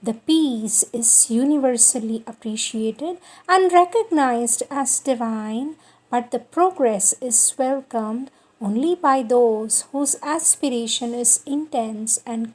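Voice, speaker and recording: A woman giving a devotional scripted reading of spiritual writing in a deliberate, solemn manner, repeating phrases for emphasis. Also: a little high, darkish tone, low-quality recording. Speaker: reader of spiritual texts. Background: music.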